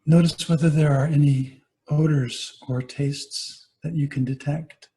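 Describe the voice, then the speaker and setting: Mellow male meditation guide, unhurried. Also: on the high side, fairly even intonation. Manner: gentle, unhurried instruction with pauses. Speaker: meditation teacher, Buddhist monastic. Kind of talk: guided body scan meditation.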